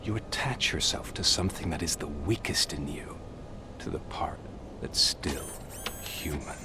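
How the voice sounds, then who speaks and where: An actor playing a calm, menacing crime boss in a video game, speaking slowly and condescendingly over noise. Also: male voice, a bass voice, whispered. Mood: calm menace. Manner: slow, deliberate, condescending. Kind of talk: video game cutscene acting.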